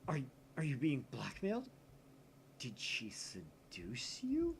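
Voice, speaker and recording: A man's voice: medium pitch, slow pace, gravelly, a little hiss or hum, pitched above the performer's own voice, full character voice. Man playing a melodramatic, paranoid young mad scientist. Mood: outraged, accusing. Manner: theatrical, excitable, suspicious. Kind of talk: visual novel read aloud in character.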